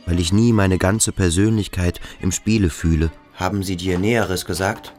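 An actor playing a stern, brooding boarding-school pupil who probes and commands in a German radio play. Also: male voice, low-pitched, steady tone, moderate pace, darkish tone, faint music behind, close to an everyday voice. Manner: insistent, probing, commanding.

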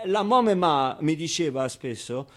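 Theatre monologue: male actor as wry, tender orphan boy, higher-pitched voice, confiding and conversational. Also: lively intonation, normal speed, natural voice. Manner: conversational, hesitant interjections, emphatic repetitions. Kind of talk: theatrical monologue, intimate storytelling.

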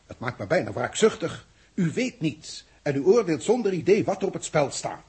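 An actor playing an evasive, formal and pedantic official in a 1960s radio drama. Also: male voice, a little high, big pitch swings, normal speed, a little mellow, breathy edge, exaggerated voice. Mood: indignant. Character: evasive, formal male official fending off angry questioners.

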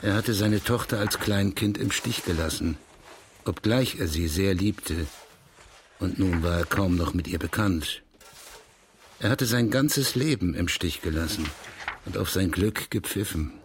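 An actor playing a detached narrator of a bleak life in a literary audio drama, measured and bitter. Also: male voice, deep voice, a little hiss or hum. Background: music.

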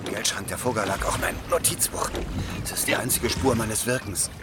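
An actor playing a loyal former officer and secret agent in a radio drama, measured and earnest. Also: male voice, fairly low pitch, heavy background noise, close to an everyday voice. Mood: sober, confiding. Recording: loud music and water behind.

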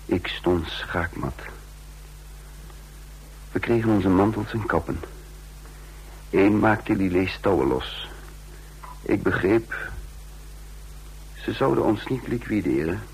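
A man's voice: low voice, animated, slow, long pauses, slightly rough, heavily acted, rough recording. An actor playing a defiant trained fighter who recounts his own escape in a radio drama, with a sardonic edge. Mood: tense recounting. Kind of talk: radio drama, first-person narration and dialogue.

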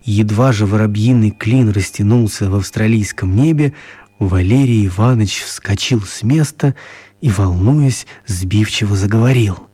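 An actor playing an author who narrates his children's story in a radio play, mellow and husky. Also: fairly low pitch, moderate pace. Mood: storytelling.

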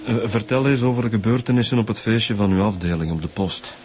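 An actor playing a mild, trusting Flemish police inspector in a radio crime drama, with a tentative way of questioning. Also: male voice, fairly low pitch, fast, darkish tone, lightly acted. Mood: mild, probing. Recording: music behind the voice.

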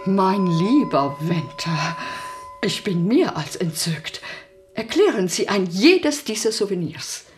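Radio drama: an affected, morbidly fascinated grande dame, leisurely delivery, very lively intonation. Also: female voice, mid-range voice, over music. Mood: morbidly curious. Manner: affected, drawn-out, elegant salon diction. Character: refined, morbidly curious society lady, easily enchanted. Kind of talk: German radio play acting. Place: studio radio production.

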